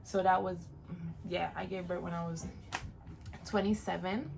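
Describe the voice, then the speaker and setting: A woman's voice: on the low side, fairly even intonation, a little slow, gravelly, a little mellow, slight background noise. A woman in her late twenties, originally from Jamaica, giving casual, encouraging advice in a vlog and repeating phrases for emphasis. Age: late 20s. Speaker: vlogger.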